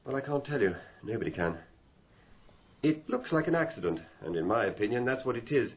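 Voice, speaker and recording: An actor playing a brisk, opinionated doctor in a radio drama, blunt and matter-of-fact. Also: male voice, mid-range voice, big pitch swings, husky. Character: brisk, opinionated male doctor, dryly dismissive. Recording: dull, muffled radio drama recording.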